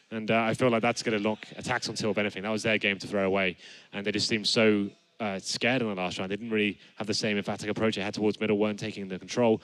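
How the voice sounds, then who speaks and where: A young male caster calls the action in a low, rapid voice, a little raspy as he gets over a flu. He speaks into a headset mic on a studio set and climbs into excited shouts when the plays land. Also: dry recording. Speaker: esports caster. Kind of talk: play-by-play commentary. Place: studio set with gaming stations.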